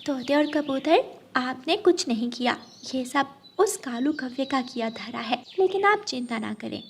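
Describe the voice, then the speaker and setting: A woman voicing a high-pitched, indignant little cartoon bird. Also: animated, unhurried, vocal fry, a little hiss or hum, exaggerated voice. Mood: assured, commanding. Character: brave, indignant little female bird, good-hearted heroine. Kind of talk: Hindi children's cartoon dialogue.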